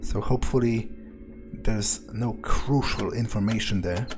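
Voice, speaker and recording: Man playing a slow-talking, sardonic vampire. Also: on the low side, over music, lightly acted. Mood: musing, muttering. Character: sardonic, pragmatic male vampire sneaking around. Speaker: game player roleplaying a character. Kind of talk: in-character gameplay commentary, thinking aloud.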